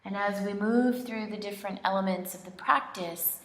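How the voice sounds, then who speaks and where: A woman leading a guided meditation in an indoor studio, speaking in gentle, unhurried phrases with long pauses. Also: mid-range voice, fairly even intonation. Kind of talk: slow guided relaxation meditation, soothing instruction. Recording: roomy, echoing studio sound.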